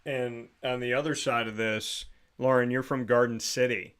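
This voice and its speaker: A man's voice: medium pitch, steady tone, normal speed, fairly bright tone, clear, resonant tone. A man hosting an interview, asking questions in a friendly, conversational way. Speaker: host.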